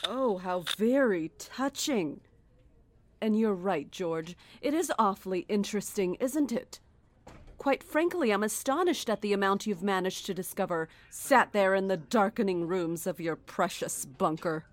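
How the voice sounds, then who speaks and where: An actress playing a smug, coolly condescending woman in control in a horror audio drama, deliberate and mock-sweet with veiled menace. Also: mid-range voice, animated, natural voice.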